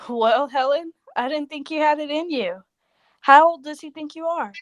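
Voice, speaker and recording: A woman's voice: high voice, normal speed, dry recording, highs cut off, lightly acted. A woman acting a blunt, practical friend of thirty-three in a 1940s style radio play, incredulous and matter-of-fact.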